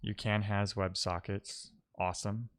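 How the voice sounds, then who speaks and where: Low, smooth-voiced man thinking aloud. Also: little pitch movement, measured pace. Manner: muttering to himself while troubleshooting. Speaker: live coding streamer. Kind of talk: casual thinking-aloud tech commentary.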